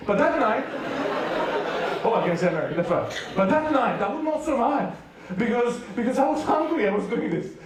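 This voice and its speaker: Serbian-accented preacher in an echoey hall. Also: male voice, very high voice, fairly quick, recorded from a distance, heavy background noise. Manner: animated comic storytelling, acts out dialogue. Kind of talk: humorous sermon storytelling. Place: large hall with a youth audience.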